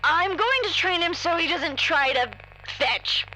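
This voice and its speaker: A woman's voice: extremely high pitch, lively intonation, measured pace, bright, forceful tone, a little hiss or hum, full character voice, poor audio. A woman playing a childlike, eager agent in an audio drama, chatty and guileless in simple words. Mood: earnest, chatty. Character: childlike, eager female agent, fond of her creature.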